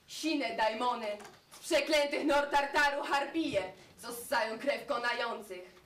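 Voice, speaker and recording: Teen girl doing a stern war goddess, high and slow, solemn declaiming, echoey hall far off. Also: fairly bright tone, slight background noise. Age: teens. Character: stern armed war goddess, prophetic, commanding. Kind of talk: school stage play.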